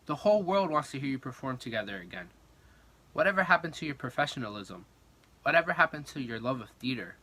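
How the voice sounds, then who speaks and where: A man playing a bossy, smooth-talking station boss in a 1940s radio comedy. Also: medium pitch, very animated, fast, pushed and bright. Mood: pleading, reassuring.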